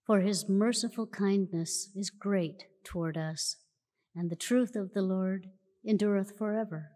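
Woman reading scripture real slow and solemn at a lectern, big church echo. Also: medium pitch, warm tone. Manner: measured, solemn delivery. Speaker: church reader. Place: large church auditorium. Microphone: lectern mic from a far camera. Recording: long church hall reverb.

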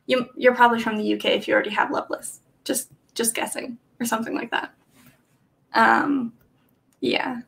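Rambling young woman with a gravelly edge. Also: on the high side, fairly even intonation, normal speed, a bit bright. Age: young adult. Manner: rambling, hesitant, many fillers and laughs. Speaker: livestream host. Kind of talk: casual chat with live chat readers. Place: small bedroom with bookshelf, indoors.

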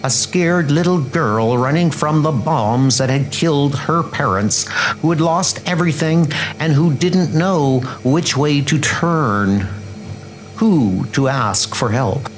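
A man's voice: on the high side, animated, slow, slight background noise, poor audio. A man voicing a fussing, emotional older woman in a comic dub, plaintive and scolding. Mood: wistful.

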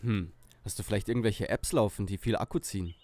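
Lowish-voiced, smug boy detective played by a man. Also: fast talker, darkish tone, lightly acted. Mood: dry. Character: cocky, mocking teenage boy detective leader Tim. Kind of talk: parody detective audio drama.

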